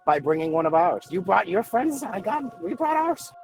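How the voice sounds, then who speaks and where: A man's voice: sits high, big pitch swings, normal speed, soft background music. A man talking casually as a tabletop game player, reasoning aloud in a conversational way. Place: online group voice call, livestreamed game.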